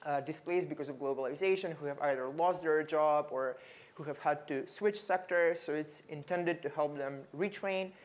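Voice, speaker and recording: Measured young man from North Macedonia. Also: fairly high pitch. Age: young adult. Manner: measured, frequent fillers and repeats. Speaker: panelist, researcher. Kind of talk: panel talk.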